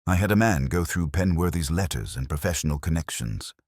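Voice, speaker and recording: Man reading as male police investigator, very deep, businesslike, matter-of-fact, varied intonation, detective audiobook. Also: lively intonation, fairly quick, darkish tone, no room sound, studio quality.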